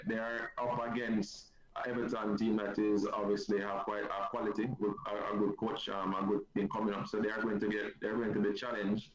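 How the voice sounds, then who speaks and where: A man with a Jamaican accent, a football coach and guest, talking in a measured, hesitant way with many fillers. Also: lowish voice. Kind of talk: casual chat on a sports show. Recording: muffled echoey line, loud crowd behind.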